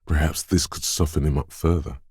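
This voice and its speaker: Very deep, smooth inner voice. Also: male voice, fairly even intonation, brisk, darkish tone, dry recording, clean studio sound. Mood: watchful, knowing. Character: inner voice of a detective's quick reflexes and wits. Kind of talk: video game narration, second-person inner voice.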